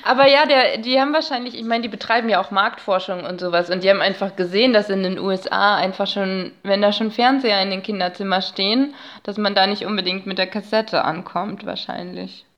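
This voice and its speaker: A woman's voice: medium pitch, fairly even intonation, fairly quick, few pauses, a bit bright. A woman speaking as a podcast guest, explaining things in casual interview chat.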